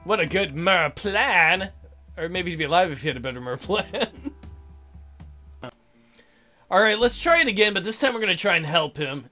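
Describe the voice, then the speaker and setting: A man's voice: high-pitched, animated, moderate pace, long pauses, sharp tone, faint music behind. A man hosting a let's play, reading a visual novel aloud with crude, irreverent joking asides.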